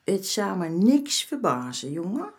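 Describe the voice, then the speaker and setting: A woman's voice: mid-range voice, big pitch swings, measured pace, darkish tone. An actress playing a streetwise, motherly older woman in a radio drama, talking bluntly and familiarly. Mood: confiding, indignant. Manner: familiar, blunt, calls men "jongen". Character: streetwise, motherly older woman of the red-light district, frank. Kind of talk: naturalistic Dutch radio drama acting.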